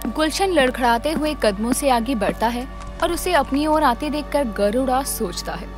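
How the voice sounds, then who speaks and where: A woman giving dramatic, scripted narration for a children's animated tale. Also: high voice, moderate pace, music in the background.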